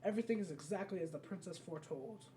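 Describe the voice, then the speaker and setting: Solemn female guardian of royalty, read aloud by a game player, measured delivery, mellow soft-edged tone, fantasy video game dialogue, faint hiss of a home recording. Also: mid-range voice, distant mic, natural voice. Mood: solemn, instructive.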